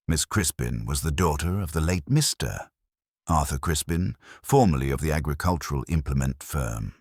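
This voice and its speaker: Very deep-voiced man narrating a detective story. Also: husky, no echo, studio quality. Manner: measured, literary storytelling delivery.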